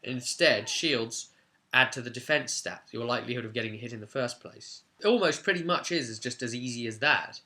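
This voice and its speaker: A man presents an informal tutorial in the role of a game master. His voice is mid-pitched, with a bright, forceful edge. He talks conversationally, leaning on key words as he explains how things work. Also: fairly quick.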